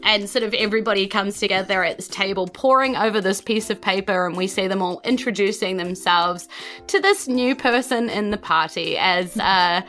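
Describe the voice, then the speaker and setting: A woman's voice: mid-range voice, normal speed, a bit bright, faint music behind. A woman with a New Zealand accent, game master and podcast host, narrating and addressing listeners. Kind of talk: game narration and scripted-casual show sign-off.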